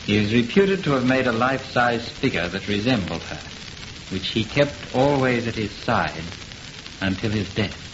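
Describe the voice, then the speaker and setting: An actor playing a grave, calm gentleman investigator who explains with solemn deliberation in an old-time radio horror play. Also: on the low side, normal speed, close to an everyday voice. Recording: noisy muffled old radio recording.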